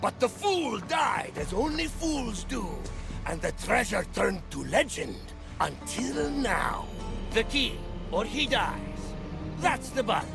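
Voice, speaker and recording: A greedy pirate villain for a game cutscene. The voice is heavily gravelly and slow, swinging theatrically as he taunts and threatens. Music plays behind him. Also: very high-pitched, sing-song, full character voice. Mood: menacing, gloating. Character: gloating greedy male pirate villain with his gang. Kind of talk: video game cutscene acting.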